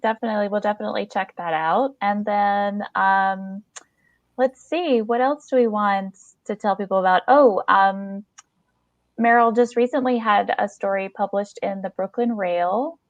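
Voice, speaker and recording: A woman's voice: medium pitch, normal speed, dry recording, lo-fi sound. A woman co-hosting a podcast in casual chat, speaking in an effusive, encouraging way. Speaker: podcast co-host. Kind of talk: casual interview chat with plugs.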